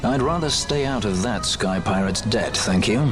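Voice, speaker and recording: A man plays a wry, sceptical rogue pilot in a fantasy game. His lowish voice is urbane and dry, with very varied intonation, over a prominent musical score. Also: lively intonation, normal speed, over music, lightly acted. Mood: sardonic. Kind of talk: dramatic video game cutscene acting. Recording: game score behind dialogue.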